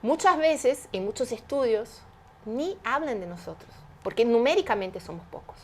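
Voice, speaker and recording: A woman's voice: on the high side, lively intonation, moderate pace, a little hiss or hum. Woman, 50s, interview guest; emphatic and explanatory, uses rhetorical questions. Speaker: guest, entrepreneur. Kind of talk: animated interview conversation.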